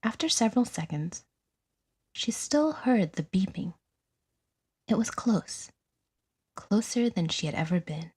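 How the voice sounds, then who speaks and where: A woman narrating a scripted audio drama in a measured, dramatic storytelling manner with a breathy voice. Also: medium pitch, big pitch swings, dark tone.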